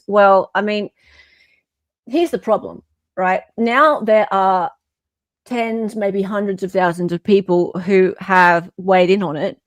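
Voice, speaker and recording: A woman's voice: lowish voice, dry recording. A woman co-host reading a document aloud informally and adding wry, mocking commentary.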